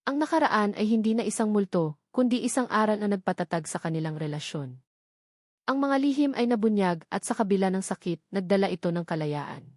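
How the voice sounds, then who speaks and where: A woman's voice: mid-range voice, animated, brisk, a little mellow, no room sound. Woman narrating in a dry studio sound. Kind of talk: scripted romance story narration.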